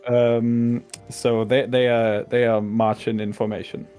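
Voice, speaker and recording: A man's voice: medium pitch, little pitch movement, unhurried, warm tone. Casual man commenting over faint music. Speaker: co-commentator translating game voice lines. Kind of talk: casual chat, language commentary.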